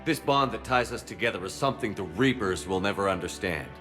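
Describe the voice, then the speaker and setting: Sober, resolute game commander; lowish pitch, steadfast soldier, clear score underneath. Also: male voice, expressive, brisk, a bit bright, soft background music. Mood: solemn, inspiring. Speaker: squad commander. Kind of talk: video game cutscene acting.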